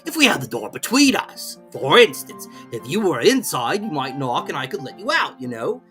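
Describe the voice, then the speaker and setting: Woman putting on a dim storybook footman creature guy, snooty and oblivious, raised pitch, very swoopy. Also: very animated, fairly bright tone, soft background music. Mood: matter-of-fact. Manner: deliberate, oblivious, matter-of-fact. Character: aloof, dim, unhelpful male footman creature.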